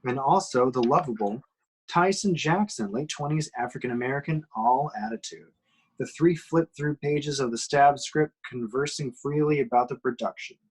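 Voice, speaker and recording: A man's voice: mid-range voice, normal speed, breathy edge, rough recording. A man reading scene descriptions as the narrator of an amateur script table read. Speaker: reader of stage directions.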